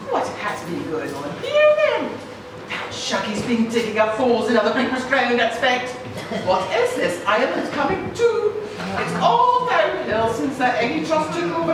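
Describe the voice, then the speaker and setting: A woman's voice: sits high, wildly swooping pitch, measured pace, exaggerated voice. A woman playing a nosy, grumbling old island granny in a live puppet show, muttering her opinions. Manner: muttering opinionated complaints to herself. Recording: distant, very echoey, noisy live hall.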